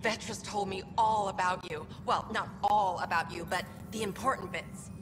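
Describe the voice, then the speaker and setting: A woman's voice: medium pitch, fairly even intonation, moderate pace, close to an everyday voice. A woman voicing a friendly, chatty young worker on a space station in a game. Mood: eager. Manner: eager, chatty. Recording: long reverb, music behind.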